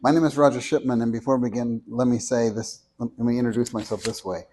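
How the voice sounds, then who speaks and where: Soft-spoken man reading a prepared talk. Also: medium pitch, fairly even intonation, a little hiss or hum. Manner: measured, deliberate, with small restarts. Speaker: speaker at a meeting. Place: meeting room with an audience. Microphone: handheld microphone.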